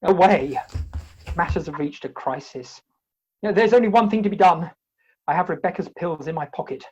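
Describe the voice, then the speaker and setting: A woman's voice: lowish voice, moderate pace, a little mellow, breathy edge. A woman playing an indignant, exasperated comic hero in a Victorian play, wordy and emphatic, in lo-fi video-call audio. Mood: desperate.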